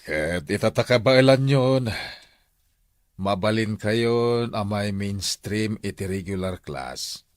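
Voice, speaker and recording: A man's voice: lowish voice, takes it slowly, no room sound. A man playing an encouraging, authoritative school official in a Filipino radio drama, with a Filipino accent. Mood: advising.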